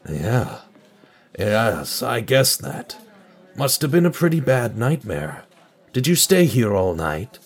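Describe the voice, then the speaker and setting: A man playing a concerned older office co-worker in an audio drama, questioning gently. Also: mid-range voice, sing-song, slow pace, slightly rough, exaggerated voice. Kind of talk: audio drama character dialogue.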